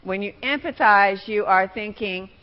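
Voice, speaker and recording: A woman giving a teaching talk as a workshop trainer, with deliberate, emphatic phrasing. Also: medium pitch, muffled recording.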